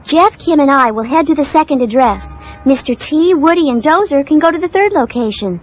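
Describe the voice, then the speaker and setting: A brave young team leader barks orders in a very high, bright voice. The storybook tape sounds dull, with faint music behind. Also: male voice, lively intonation, a little fast. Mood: eager. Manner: bold, commanding.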